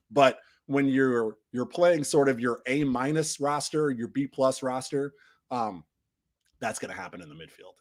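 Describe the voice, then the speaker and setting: Man with a voice still mending. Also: medium pitch. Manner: rambling, frequent restarts and fillers, trails off quietly at phrase ends. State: recovering from losing his voice. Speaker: soccer podcast host. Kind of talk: casual match recap on a live stream.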